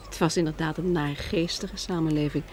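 Een vrouw die in een hoorspel een speelse, zacht vasthoudende echtgenote speelt, op een lichte, plagende toon. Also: female voice, sits low, steady tone, fairly quick, darkish tone, a little hiss or hum, lightly acted. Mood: reflective. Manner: light, teasing. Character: playful, gently determined wife of a weary survivor. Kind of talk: radio drama acting.

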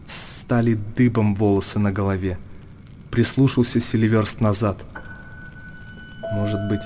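A man narrates literary prose as a radio drama reader. His voice is dark and mellow, and he moves very slowly and deliberately. The delivery is solemn, set out in short, weighty phrases. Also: lowish voice, steady tone, slight background noise.

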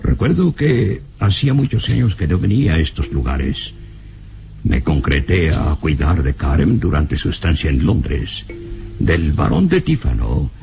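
A nervous, protective professor with a rumbling, gravelly voice. His worried, hesitant delivery swings dramatically up and down, in the manner of an old radio serial. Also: a little mellow, heavily acted. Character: anxious, fearful male professor, protective guardian.

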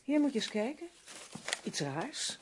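An actress playing a brisk, bossy, practical female writing partner, expressive and insistent, in a Dutch radio play. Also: fairly high pitch, noisy background. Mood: curious.

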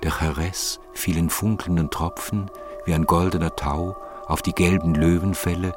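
A man reading scripted literary narration in a measured, formal manner. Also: low voice, fairly even intonation, over music.

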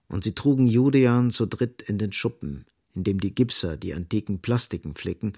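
Male narrator, literary radio play, creaky, husky, dry studio sound. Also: fairly low pitch, darkish tone, dry recording.

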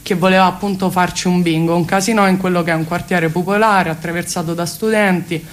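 A woman's voice: fairly low pitch, steady tone, a little fast. A woman speaks as an interview guest, explaining earnestly and at length with hesitant phrasing. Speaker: guest, cultural space activist. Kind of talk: spoken radio interview, explanatory.

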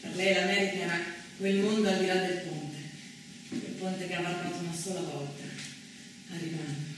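An actress playing a stage storyteller, delivering theatrical monologues about women's lives. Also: female voice, on the low side, fairly even intonation, normal speed, lightly acted. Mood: narrating. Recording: distant, very echoey, noisy stage recording.

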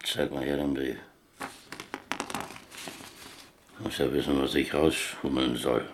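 A deep-voiced, defiant prisoner captain. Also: male voice, fairly even intonation, normal speed, background noise. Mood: resigned. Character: defiant, streetwise German prisoner, a chess-playing captain. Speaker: actor in a radio drama. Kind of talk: German radio play acting.

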